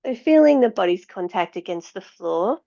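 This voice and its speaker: A woman yoga teacher guiding meditation and breathing in a measured, soothing way, leaving long pauses between phrases. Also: mid-range voice, lively intonation, vocal fry, dark tone. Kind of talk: guided yoga and meditation instruction. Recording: dull, slightly lo-fi sound.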